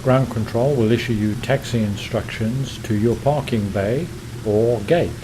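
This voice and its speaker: A man's voice: medium pitch, measured pace, background noise. A man narrating an instructional tutorial as the host of a flight simulation channel.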